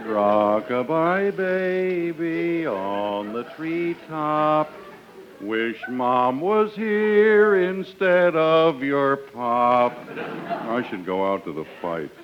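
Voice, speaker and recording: A man speaks in a flustered, put-upon way in a 1940s radio comedy. His voice is slightly high and swings widely in pitch, at an unhurried pace. The broadcast sounds dull, with faint hiss. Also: expressive. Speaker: announcer and comic foil.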